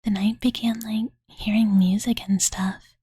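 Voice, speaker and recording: A woman voicing a cute, devoted dragon girl in a soft, breathy ASMR bedtime-story whisper, gentle and casual, close to the microphone. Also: medium pitch, level tone, no echo. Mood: hushed storytelling. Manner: slow, gentle, valley-girl filler "like", refers to self in third person. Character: cute, childlike dragon girl, devoted and slightly pouty, telling bedtime stories. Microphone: close studio condenser microphone, dry.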